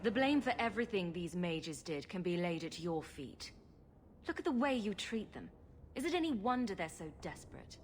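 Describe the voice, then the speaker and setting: An actress playing a wry, blunt, sharp-tongued woman adventurer in a video game. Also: mid-range voice, sing-song, moderate pace, slight background noise, natural voice. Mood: accusing.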